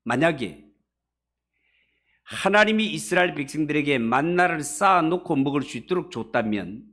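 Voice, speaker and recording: A man's voice: on the high side, animated, moderate pace, fairly bright tone. A man preaching an expository sermon to a congregation as a pastor, with emphatic rises and falls of stress. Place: church service.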